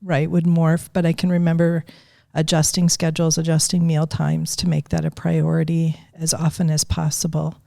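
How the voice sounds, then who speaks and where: Low, gravelly-voiced grandmother reminiscing. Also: monotone, mellow, no echo, clean studio sound. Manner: thoughtful, unhurried recollection. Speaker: guest. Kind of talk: casual interview chat.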